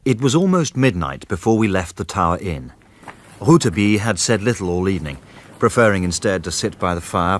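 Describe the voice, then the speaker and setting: An actor playing a gentleman who narrates a detective tale in a radio drama, in a literary, dramatic manner. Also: lowish voice, slight background noise, close to an everyday voice. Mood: reflective. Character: gentleman narrator recounting his detective adventure. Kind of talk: scripted first-person radio drama narration.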